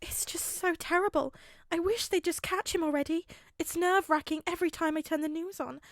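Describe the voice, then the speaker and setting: A woman voicing an anxious, gentle mother who frets, in an audiobook story. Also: extremely high pitch, steady tone, a little fast, dry recording, clean studio sound, pitched above the performer's own voice. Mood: fretful. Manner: fretting, soft-hearted. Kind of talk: audiobook character acting.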